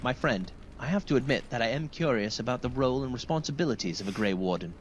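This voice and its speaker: An actor playing an earnest, courteous young knight in a fantasy video game, speaking formally and sincerely. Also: male voice, mid-range voice, expressive, quick pace, a little hiss or hum, close to an everyday voice. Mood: earnest, hopeful. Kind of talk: video game dialogue acting.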